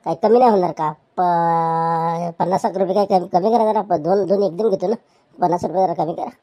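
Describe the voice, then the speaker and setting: A woman's voice: on the low side, moderate pace, mellow, close to an everyday voice. A woman voicing a chatty village customer who rambles eagerly and haggles. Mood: eager haggling.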